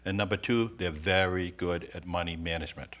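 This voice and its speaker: A middle-aged man with a fairly low voice talks about his accounting practice. He speaks quickly, in a brisk and matter-of-fact manner, as a guest on a talk show. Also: steady tone, a bit bright. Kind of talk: interview guest.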